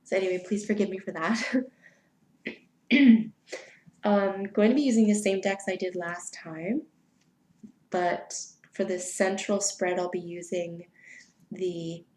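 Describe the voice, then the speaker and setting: A woman's voice: on the low side, echoey room, a little hiss or hum. Stuffy-voiced woman reading tarot. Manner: soft, unhurried, spiritual invocations. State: stuffy from allergies. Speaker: tarot reader. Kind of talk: weekly tarot reading video.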